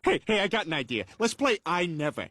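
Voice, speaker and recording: A man voicing a brash, childish, dim-witted, excitable family man in an animated sitcom. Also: high voice, fast, no echo, soft background music, exaggerated voice. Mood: playful. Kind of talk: animated sitcom comedy acting.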